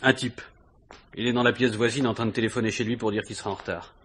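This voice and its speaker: A man's voice: on the low side, fairly even intonation, quick pace, fairly bright tone, slightly rough. A man acting a matter-of-fact, persistent police inspector who asks informal questions in a radio crime drama. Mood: casual, brisk. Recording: noisy vintage radio recording.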